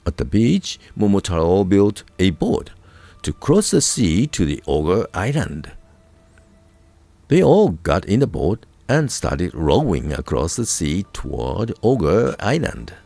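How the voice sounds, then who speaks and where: A man with a Japanese accent narrating a folk tale from a script, in a deliberate, expressive storybook manner with dramatic emphasis. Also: on the low side, creaky, no echo. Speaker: storyteller, radio voice crew narrator.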